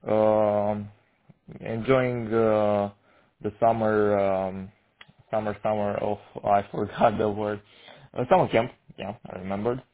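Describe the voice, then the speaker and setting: A teenage Romanian man, Romanian being his first language, talks over amateur radio and keeps stopping to hunt for words. His low, flat voice comes through narrow and tinny, like a radio link. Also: slow pace, long pauses. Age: teens. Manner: hesitant, searching for words, many fillers. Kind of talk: casual radio chat.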